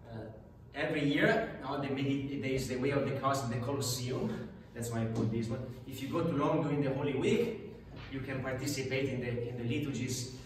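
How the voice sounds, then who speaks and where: A middle-aged priest giving a parish talk on prayer, often restarting and adding tag questions. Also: male voice, mid-range voice. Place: parish classroom. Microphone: far camera. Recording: echoey room from far off.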